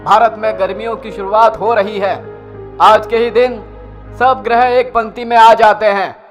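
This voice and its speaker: A high-voiced society uncle, played by a man, addresses children like an instructive speechmaker in a festive cartoon. His delivery is declamatory, over faint music. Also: fairly even intonation, normal speed, close to an everyday voice.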